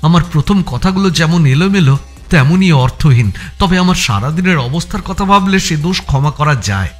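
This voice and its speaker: Expressive male thriller narrator, animated pitch. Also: moderate pace. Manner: suspenseful, expressive storytelling. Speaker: narrator reading a first-person thriller. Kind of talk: dramatic scripted audio story narration. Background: music.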